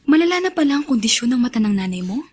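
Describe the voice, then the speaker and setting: Gentle, concerned young Filipina. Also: female voice, on the high side, big pitch swings, rapid-fire, over music. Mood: concerned, tender. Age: young adult. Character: supportive, sympathetic young student sweetheart. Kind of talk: Filipino radio drama acting.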